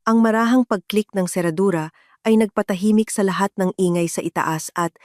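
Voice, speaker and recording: A woman's voice: medium pitch, a little fast, darkish tone, dry recording, clean studio sound. A woman reading a dramatic first-person story as a scripted narration.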